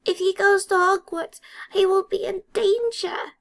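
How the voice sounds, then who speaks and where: A woman voicing a frightened, servile male house elf in an audiobook, with a quavering, halting delivery. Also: very high voice, steady tone, vocal fry, fairly bright tone, pitched above the performer's own voice, full character voice. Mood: urgent. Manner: slow, halting, fearful delivery.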